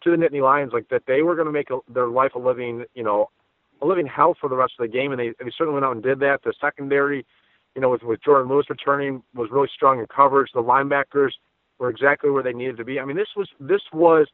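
Male sports guest, opinionated and conversational, brisk delivery, thin telephone-band sound. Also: medium pitch, dry recording. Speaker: sports radio analyst and guest. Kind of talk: sports podcast interview commentary. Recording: dry, phone-like narrow band.

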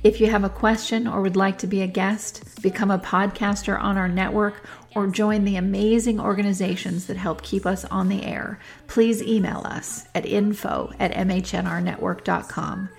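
A woman hosting a podcast interview, conversational and affirming, steering the talk with brisk wrap-ups. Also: mid-range voice, steady tone, gravelly, a little mellow. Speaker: podcast host.

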